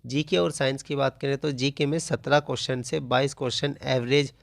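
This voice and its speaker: Man, mid-pitched voice, coaching teacher, informal explanatory delivery, repeats phrases, dry sound. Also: steady tone, brisk, gravelly, no room sound. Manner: repetitive, restates phrases as he explains. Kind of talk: informal explanatory exam analysis.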